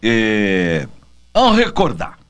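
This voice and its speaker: A man's voice: fairly low pitch, huge pitch swings, takes it slowly, a bit bright, clean tone. A Brazilian man hosting a casual radio interview, chatting and putting questions to his guest in a conversational way.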